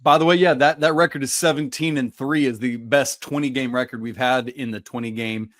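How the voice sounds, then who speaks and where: A man's voice: medium pitch, steady tone, fairly quick, fairly bright tone. A man co-hosting a sports podcast, arguing his points emphatically in casual debate. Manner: emphatic, argumentative. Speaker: podcast co-host.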